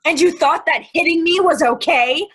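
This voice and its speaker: An actress playing an agitated, guilt-ridden accomplice to murder in a dramatic stage play reading, emphatic and repetitive. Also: female voice, extremely high pitch, moderate pace, a bit bright. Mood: cold, hurt. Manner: agitated, emphatic, repeating herself.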